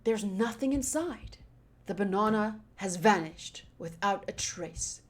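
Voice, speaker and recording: A woman's voice: mid-range voice, lively intonation, measured pace, slight background noise. A woman streamer reading visual novel narration aloud and adding casual commentary.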